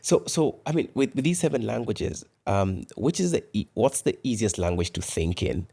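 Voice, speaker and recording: Guy host, lowish voice, mostly short replies and quick questions. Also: very animated, creaky, warm tone, husky. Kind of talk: conversational interview.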